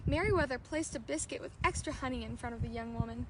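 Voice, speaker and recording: High voiced lady reading a kids princess story aloud, noticeable noise on the recording. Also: fast. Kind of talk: children's storybook read aloud.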